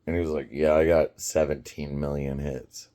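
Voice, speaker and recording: A man in his fifties telling a casual story in a rambling, halting way, often laughing as he talks. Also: very deep voice, flat delivery, mellow. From United States. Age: 50s.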